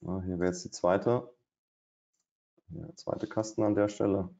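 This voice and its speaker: A man's voice: low-pitched, fairly even intonation, measured pace, creaky, mellow. A middle-aged man doing live trading commentary at his desk, thinking aloud with hesitations and fillers. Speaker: day trader. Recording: dull, lo-fi stream audio.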